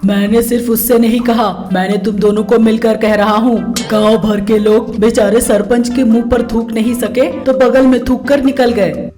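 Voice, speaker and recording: A woman's voice: on the high side, steady tone, moderate pace, mellow, soft background music, natural voice. A woman voicing an exasperated young village son who talks in a scolding, sarcastic way in a comic story.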